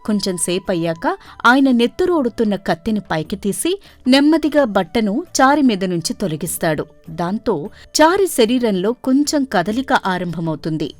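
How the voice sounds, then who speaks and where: A woman reading scripted storybook narration as the narrator of an animated folktale. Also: medium pitch, moderate pace. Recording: faint music behind. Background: faint music.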